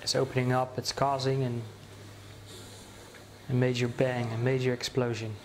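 A man guides a meditation in a slow, soothing voice that stays almost perfectly level in pitch. He pauses often and restarts his phrases as he leads the listener along. A faint hiss of noise sits under the recording. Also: level tone, a little mellow.

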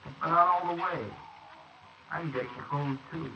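A man's voice: on the high side, moderate pace, lightly acted. Brief: guilt-ridden young husband, tense and panicky, played by a man, hissy dull wire recording. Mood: uneasy. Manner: tense, panicky, rising to shouts. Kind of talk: 1940s radio acting.

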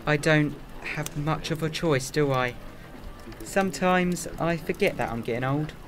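A man's voice: on the high side, measured pace, music in the background, lightly acted. A man playing a serious, hesitant time traveller in a full-cast audio drama. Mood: confused.

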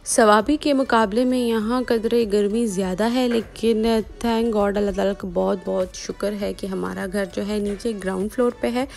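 A woman's voice: mid-range voice, fairly even intonation, normal speed, vocal fry, few pauses, a little mellow, faint music behind. A young woman, narrating a home vlog in a casual, chatty style. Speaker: vlogger.

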